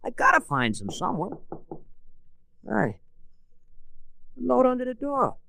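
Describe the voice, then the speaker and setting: An actor playing a grouchy, wisecracking sidekick with an American accent and colloquial delivery, in 1940s radio comic-relief style. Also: male voice, extremely high pitch, wildly swooping pitch, a little slow, gravelly, pushed and bright, no room sound, heavily acted. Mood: grumbling.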